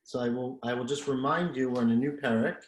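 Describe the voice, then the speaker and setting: A man opening a Talmud study session on a video call, friendly and brisk as he gets down to business. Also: mid-range voice. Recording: roomy echo.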